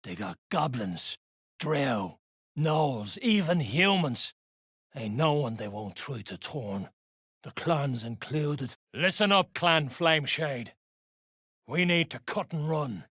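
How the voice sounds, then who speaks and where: An irritable dwarf scavenger and clan fighter for a fantasy game. The voice is rough and husky, moving widely in pitch at an unhurried pace. The speech is blunt and coarse. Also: expressive, a bit bright, dry recording, clean studio sound, exaggerated voice. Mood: commanding. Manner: coarse, clipped orders in dialect. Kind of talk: video game character voice acting.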